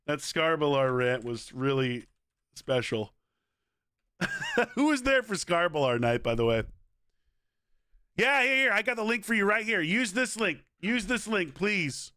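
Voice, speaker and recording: A man with a hoarse voice, chatting casually and energetically on a game livestream and pleading with viewers. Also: sits high, sing-song, measured pace, long pauses, bright, forceful tone, dry recording, studio quality. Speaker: game streamer.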